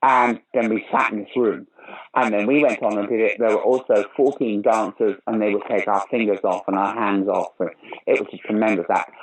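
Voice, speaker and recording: An older man, a guest actor and comedian, telling stories in an interview with sudden loud bursts of enthusiasm. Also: lowish voice, brisk, low-quality recording. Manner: animated anecdotal storyteller with sudden loud bursts. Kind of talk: conversational interview storytelling.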